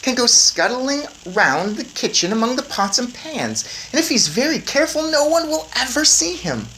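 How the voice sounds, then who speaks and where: A woman's voice: fairly high pitch, very animated, moderate pace, fairly bright tone, slight background noise, pitched above the performer's own voice, low-quality recording. A woman voicing a small, plucky boy turned mouse in a storybook, earnest and eager.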